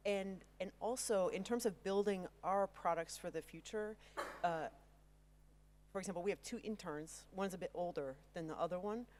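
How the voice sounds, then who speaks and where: A woman panelist in ed tech, speaking with quick, self-deprecating humor into a handheld mic on a conference stage. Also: lowish voice, darkish tone, slight background noise.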